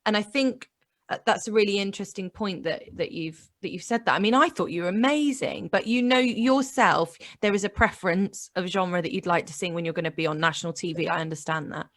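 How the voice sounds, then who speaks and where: A woman hosting a casual interview, encouraging and quick to agree. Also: mid-range voice, fairly bright tone. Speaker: podcast host.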